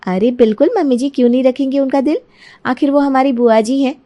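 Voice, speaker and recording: Casting: woman as lazy young bahu for cartoon story, very high voice, sugary, eager to please, sly cheer. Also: fairly even intonation, fairly quick, mellow, lightly acted. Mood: sweet, eager. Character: lazy, sweet-talking young daughter-in-law, cheerful schemer. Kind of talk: Hindi cartoon moral story dialogue.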